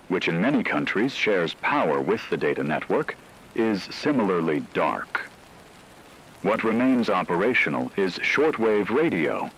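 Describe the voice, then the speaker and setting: A man playing a grave radio anchor who delivers scripted news of a global catastrophe in a solemn, measured, formal way. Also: low-pitched, a bit bright, a little hiss or hum, exaggerated voice, low-quality recording. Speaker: emergency broadcast announcer.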